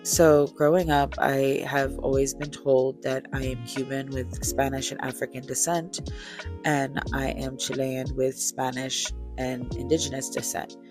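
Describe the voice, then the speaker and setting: A woman in her thirties chatting casually and relaxed, with easy fillers and light laughter, telling a storytime while beading. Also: rumbling low, a little slow. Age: 30s. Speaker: crafter and vlogger. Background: faint chill music.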